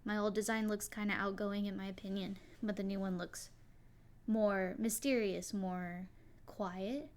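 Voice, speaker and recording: A young woman with a soft, quiet voice, casually talking to her viewers about her character design, rambling a little with fillers and restarts. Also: medium pitch, steady tone, measured pace. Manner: rambling, many likes and restarts. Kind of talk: casual solo talk to viewers.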